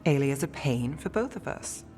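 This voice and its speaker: A man's voice: mid-range voice, big pitch swings, moderate pace, darkish tone, soft background music. A man playing a weary, worried city investigator in a video game cutscene, dry and guarded with a clipped delivery.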